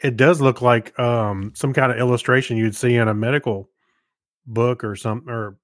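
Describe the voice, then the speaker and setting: A man's voice: mid-range voice, steady tone, moderate pace, no room sound, studio quality. A man co-hosting a podcast, chatting casually and explaining things in an amused, conversational way. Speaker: podcast co-host. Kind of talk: casual chat.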